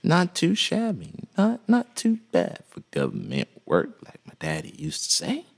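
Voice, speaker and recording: A man with a deep voice teaching music production in a relaxed, folksy way, with many "uh" fillers. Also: a little slow, creaky. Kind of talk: casual software tutorial.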